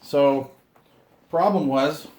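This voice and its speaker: A man's voice: on the high side, very slow, darkish tone, a little hiss or hum, poor audio. A middle-aged man chatting casually as he hosts an unboxing video, rambling along with a self-deprecating, grumbling streak. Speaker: video host unboxing radio gear. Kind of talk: casual unboxing chat to camera.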